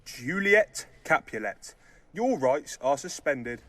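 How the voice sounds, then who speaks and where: A man's voice: a little high, sing-song, slow pace, a bit bright, no room sound, full character voice. A young man playing an officious, dutiful police constable in a dystopian audio drama. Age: young adult.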